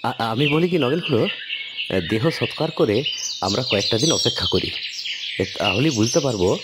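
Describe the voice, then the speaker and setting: A man's voice: medium pitch, lively intonation, moderate pace, lightly acted. A man voicing an anxious, deferential poor young villager who speaks hesitantly and pleadingly in a cartoon, with noise behind. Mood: uneasy. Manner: hesitant, stammering starts, pleading.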